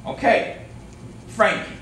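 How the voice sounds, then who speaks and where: A man's voice: takes it slowly, fairly bright tone. A man in an amateur staged reading playing a scheming fight fixer, bossy and condescending, with a veiled menace. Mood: bossy, menacing. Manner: wheedling yet menacing, condescending. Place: echoey indoor room, staged reading. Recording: distant echoey room, faint noise.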